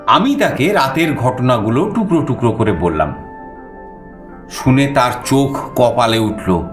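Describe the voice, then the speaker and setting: A male storyteller narrates in the first person with an expressive, very varied pitch. His voice is mid-range and echoes a little, with faint music behind it. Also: a little slow.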